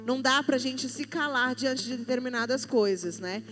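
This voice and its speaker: A woman speaks with assertive conviction on a panel, in a preachy manner. Her voice is high. Music plays softly under her. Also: normal speed. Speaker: panelist at church youth service. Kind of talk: conversational church panel talk.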